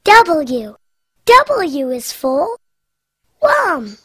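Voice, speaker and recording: A woman voicing a cheerful little girl piglet in a cartoon, reciting the alphabet in a bright, giggly sing-song. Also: high-pitched, huge pitch swings, drawn-out words, no room sound, studio quality, heavily acted.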